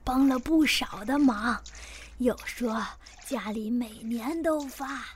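A woman's voice: a little high, takes it slowly, a little hiss or hum. An actress playing a kindly, chatty elderly grandmother in a radio drama, homely and rambling. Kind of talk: Chinese radio drama acting.

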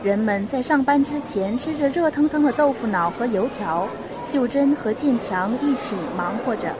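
A woman's voice: on the high side, expressive, normal speed, crowd noise, low-quality recording. A young woman reading scripted storytelling narration for a student radio drama. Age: young adult. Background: music.

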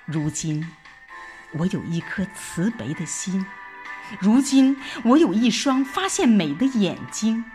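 Slow, expressive woman narrating over music. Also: mid-range voice. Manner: expressive, reflective recitation with deliberate pauses. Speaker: audio drama narrator. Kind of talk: dramatic scripted first-person narration. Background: background music.

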